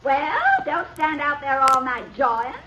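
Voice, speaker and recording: Shrill, bossy frontier mother. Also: unhurried. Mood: irritated, scolding. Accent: American. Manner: sharp, nagging, impatient. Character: bossy, scolding frontier mother, short-tempered. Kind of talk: 1950s American radio western acting. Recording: echoey, distant, very noisy old radio recording.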